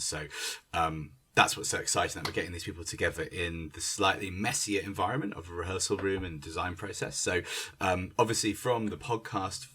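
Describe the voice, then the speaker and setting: A man's voice: low-pitched, pushed and bright, husky. A man co-hosting a casual conversation as a theatre director.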